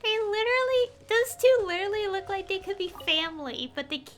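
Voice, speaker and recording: A woman's voice: very high voice, a little fast, a little hiss or hum. A woman casually commentating live gameplay as a streamer, rambling and trailing off in a giggly way. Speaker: game streamer. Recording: faint game music behind. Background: game music.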